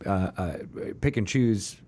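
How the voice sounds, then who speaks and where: A man's voice: fairly low pitch, slow, a little mellow. A man hosting an interview show, chatting casually and thinking aloud with frequent asides. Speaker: talk show host.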